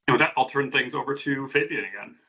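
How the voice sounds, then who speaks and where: A man co-hosting an online developer community call, giving a brisk, upbeat rundown of resources and links. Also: mid-range voice, sharp tone, lo-fi sound.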